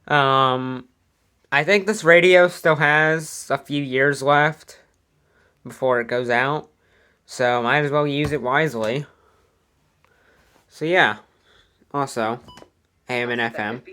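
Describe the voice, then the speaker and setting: A casual American voice with a mid-range pitch presents a hobby collection. The delivery is informal and rambling, with a plain, matter-of-fact tone, as in a homemade showcase video. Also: takes it slowly, pauses often, sharp tone, clear, resonant tone. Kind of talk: casual product showcase vlog. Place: indoor room at home.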